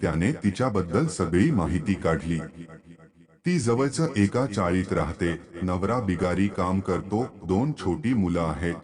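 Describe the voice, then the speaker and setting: A man's voice: low voice, animated, a little slow, a crowd in the background. A man reads a sentimental moral story as scripted narration.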